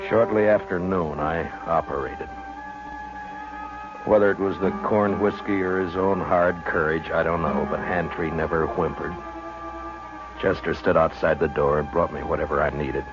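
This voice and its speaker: An American man playing a stoic Old West marshal, firm but gentle, in a measured, weary 1950s radio western style. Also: rumbling low, steady tone, music in the background. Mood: grim, weary. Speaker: lead character and narrator. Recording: muffled, noisy old radio recording.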